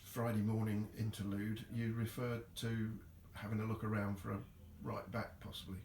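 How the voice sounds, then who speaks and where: A man's voice: fairly low pitch, flat delivery, moderate pace, vocal fry, a little mellow. A man asking questions in a casual interview, politely and a little hesitantly. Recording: echoey room with background noise.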